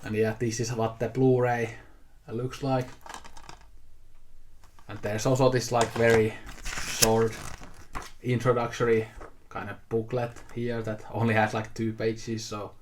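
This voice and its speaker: A man's voice: medium pitch, level tone, measured pace, long pauses, slight background noise. A man of twenty-eight, talking casually to camera about things he got, in an easygoing, rambling way. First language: Finnish. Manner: easygoing, rambling, with frequent fillers and restarts. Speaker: video host showing a haul. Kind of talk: casual unscripted talk to camera.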